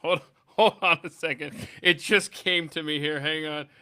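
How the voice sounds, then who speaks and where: Male talk show host, slightly high, bright forceful voice, animated casual banter with repeated phrases. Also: moderate pace, clear, resonant tone.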